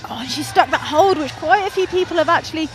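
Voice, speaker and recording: A woman's voice: extremely high pitch, fast talker, music in the background. A young woman from North Wales giving chatty, enthusiastic live commentary on a climbing competition, often laughing at her own slips.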